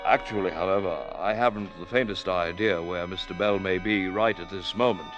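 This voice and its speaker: An actor playing a composed office gentleman in a 1940s radio drama, speaking in a formal, measured way. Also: sits low, steady tone, close to an everyday voice. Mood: composed, puzzled. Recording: muffled 1940s radio with music.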